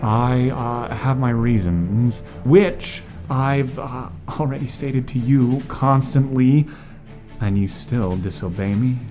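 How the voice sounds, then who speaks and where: Picture a scheming masked villain in an audio drama, acted out by a man. He speaks haltingly, stretching and stammering over his words. Loud music plays behind him. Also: medium pitch, pitched above the performer's own voice, natural voice. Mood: measured, chiding. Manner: hesitant, stammering, drawn-out delivery. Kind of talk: fan audio drama acting.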